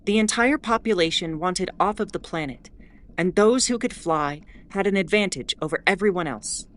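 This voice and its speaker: A woman playing a wry, mildly exasperated starship announcer in a scripted audio drama, explaining in a measured way with asides. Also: on the low side, lightly acted. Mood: explanatory. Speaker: host.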